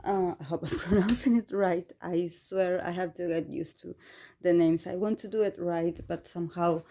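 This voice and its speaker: Mellow-voiced woman, hesitant and reflective. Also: fairly low pitch, steady tone, moderate pace. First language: not English. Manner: hesitant, many fillers and restarts, reflective. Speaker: tarot reader. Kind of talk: casual solo tarot reading.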